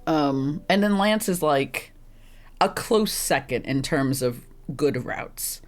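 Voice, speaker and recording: A woman with a deep, rough, slightly hoarse and congested voice, rambling through casual gameplay commentary and laughing at herself often. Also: lively intonation, takes it slowly, faint music behind. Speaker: streamer playing a visual novel.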